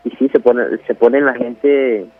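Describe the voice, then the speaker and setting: A man's voice: mid-range voice, steady tone, measured pace, no echo, faint music behind. Man on a dull phone line. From Venezuela. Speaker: caller to a radio show. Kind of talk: casual personal storytelling. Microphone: telephone. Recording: dull, dry phone call to radio.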